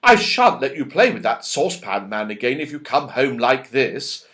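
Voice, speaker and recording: A man's voice: mid-range voice, very animated, normal speed, fairly bright tone. A man voicing a worried, scolding mother in a children's storybook, fretful and exclaiming.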